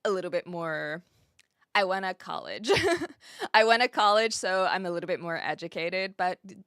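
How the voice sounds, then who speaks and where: A woman's voice: fairly low pitch, normal speed, a bit bright, clean tone, dry recording, studio quality. A woman putting on an American Valley Girl accent as a self-assured, college-educated young American with drawling, filler-heavy talk. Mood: casual, self-assured.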